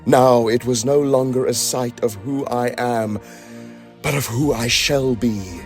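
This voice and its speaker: A man voicing an awestruck, yearning dragon in a measured, reflective, dramatic reading of poetic prose with deliberate pauses. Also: medium pitch, faint music behind, lightly acted. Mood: powerful, intense. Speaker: voice-over reader.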